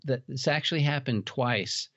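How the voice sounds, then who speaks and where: A man's voice: medium pitch, moderate pace, a bit bright, dry recording, highs cut off. A middle-aged man chatting as an interview guest, explaining things like a keen enthusiast telling stories. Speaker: podcast guest, horror attraction and trading card company founder. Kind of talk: casual interview storytelling.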